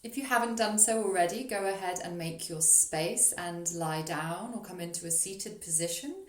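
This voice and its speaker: A woman leading a guided meditation as a yoga nidra teacher, speaking in a soothing, gentle, unhurried way with long pauses. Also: lowish voice. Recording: roomy echo on the voice.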